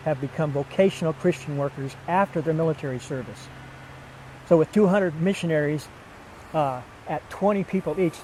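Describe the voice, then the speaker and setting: A man speaking earnestly and in measured phrases, giving a personal testimony as a missionary. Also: fairly high pitch, a little mellow, slight background noise, low-quality recording. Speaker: missionary couple member seeking support. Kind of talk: documentary-style personal testimony and appeal. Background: background music.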